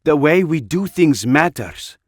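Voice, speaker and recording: Audiobook casting: principled veteran woman sniper played by a man, stern and weary, earnest, lifted pitch, dry close sound. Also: expressive, a little slow, no echo. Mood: heated, disapproving. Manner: earnest, disapproving.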